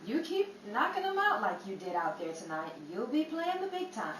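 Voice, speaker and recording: Actress as cautious showgirl's manager, animated female voice, persuasive, radio drama, far from the mic. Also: a little high, moderate pace. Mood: coaxing, cautioning. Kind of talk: 1930s old-time radio drama acting. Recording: noisy, echoey, distant mic.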